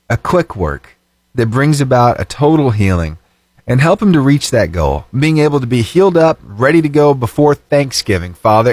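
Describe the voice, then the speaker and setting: Animated radio host man, lively delivery. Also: medium pitch, no echo. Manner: animated, fervent praying then chatty and upbeat. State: slightly itchy throat, voice lower than usual. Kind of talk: prayer and casual radio chat. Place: radio studio. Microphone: studio microphone.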